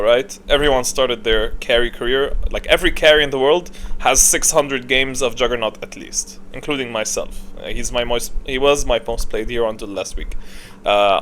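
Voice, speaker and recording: A man giving casual, unscripted tier list commentary as a gaming host, thinking aloud in a rambling, opinionated way. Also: mid-range voice. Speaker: gaming content creator, host. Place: indoors, voice-over on screen recording. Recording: clear steady background noise.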